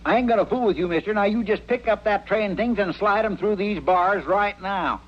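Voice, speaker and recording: A man's voice: sits high, brisk. A man acting a flustered, folksy jail deputy in an old radio western, with a rural American western drawl and a stammering, rambling way of talking. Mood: testy. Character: flustered, put-upon male frontier jail deputy, folksy and hapless. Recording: muffled old radio recording, faint hiss.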